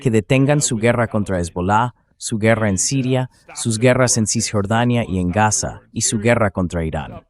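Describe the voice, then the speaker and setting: A man's voice: on the low side, moderate pace, hardly any pauses. A synthetic male voice reading a translated political commentary as a voice-over. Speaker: interview guest analyst, dubbed translation.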